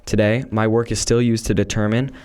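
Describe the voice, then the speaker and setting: A man playing a devout 1800s scientist, low voice. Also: little pitch movement, fairly quick, a little mellow, natural voice. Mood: earnest. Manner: reading a prepared script aloud. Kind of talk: school podcast role-play.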